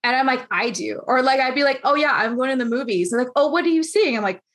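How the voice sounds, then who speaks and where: A woman in her late twenties interviewing a guest over a video call, chatty and animated, acting out what others said. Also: high voice, fast talker. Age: 20s.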